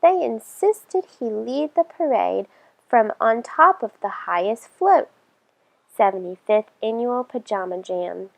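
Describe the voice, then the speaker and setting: Slow, higher-pitched woman reading a story. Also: expressive, vocal fry. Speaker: children's librarian storyteller. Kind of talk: expressive read-aloud storytelling for young children.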